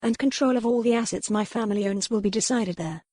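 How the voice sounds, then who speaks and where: A woman's voice: mid-range voice, quick pace, warm tone, no room sound, clean studio sound, lightly acted. A woman voicing a proud young noblewoman and mother in a historical romance audiobook, formal, earnest and firm. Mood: resolute.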